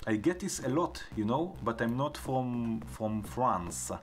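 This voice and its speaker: Slow-talking Israeli man over music. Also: mid-range voice, sing-song. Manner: thinking aloud, unhurried, trailing fillers. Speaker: live-stream host. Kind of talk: live-stream synth patching walkthrough. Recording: music playing under the voice.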